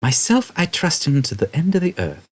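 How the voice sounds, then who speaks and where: A man acts out a brisk alien man passing as human in a comic audiobook. He is blunt and emphatic, pitched up with lively swings and a husky edge. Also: heavily acted. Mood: brisk, firm.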